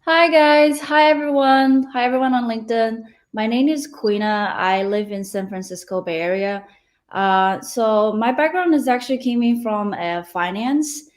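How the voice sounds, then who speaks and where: A woman introduces herself on a video call with a mid-pitched voice. She keeps talking in a chatty, excited way. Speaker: career changer from finance joining a hackathon.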